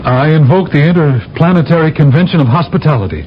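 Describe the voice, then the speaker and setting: A man's voice: a little high, expressive, fast talker, music in the background, natural voice. An actor playing a weary, wry astronaut commander, telling his story dramatically in a radio drama. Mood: firm, resigned. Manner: dramatic, hesitant first-person storytelling. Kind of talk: radio drama narration and acting. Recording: dull old radio broadcast.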